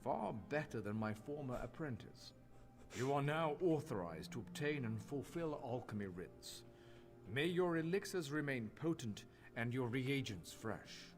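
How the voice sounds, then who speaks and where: A man acts as a learned fantasy master crafter who instructs apprentices in formal, measured phrases. His pitch moves in lively swings over clearly audible music. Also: lightly acted. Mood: formal, approving. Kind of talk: scripted fantasy game dialogue. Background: game music.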